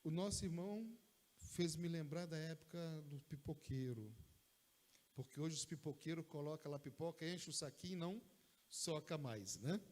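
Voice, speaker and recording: A man with a Brazilian Portuguese accent preaches a sermon in an easy, conversational tone. His voice sits a little high, and he often adds short asides to bring the congregation along. A long church reverb trails behind every phrase. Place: church sanctuary.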